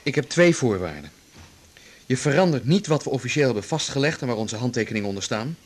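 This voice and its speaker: Husky, firm husband. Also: male voice, medium pitch, sing-song, fast talker. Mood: firm, controlled. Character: secretive husband buying a secret deal, calm but firm. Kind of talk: naturalistic 1980s Dutch radio drama acting.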